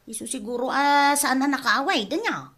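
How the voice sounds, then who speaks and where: A woman's voice: high-pitched, lively intonation, moderate pace, sharp tone, exaggerated voice. A woman acting a gossipy village neighbour in an Ilocano comedy radio drama, with a Filipino Ilocano accent. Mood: gossiping.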